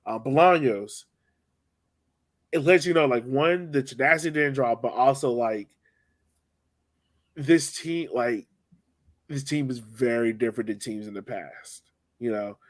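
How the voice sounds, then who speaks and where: A man's voice: medium pitch, unhurried, pauses often. A man in casual sports podcast chat, rambling along with lots of "like" and "you know". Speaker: soccer podcast host.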